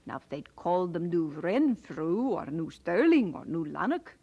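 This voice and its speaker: An actress playing a brisk, outspoken middle-aged Scottish aunt in an audio drama, with a husky voice. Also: female voice, lowish voice, sing-song, warm tone, highs cut off, full character voice. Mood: opinionated.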